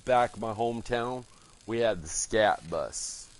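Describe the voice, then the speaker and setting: A man's voice: lowish voice, a little slow. A man from California streaming a game, chatting in a crude, rambling, heavily swearing way. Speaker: gaming streamer. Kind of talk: casual profane banter while gaming.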